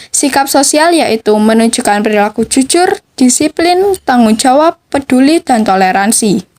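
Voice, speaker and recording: A woman's voice: high voice, a little mellow. A teenage young woman, presenting scripted educational material as a student podcaster. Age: teens, high school student.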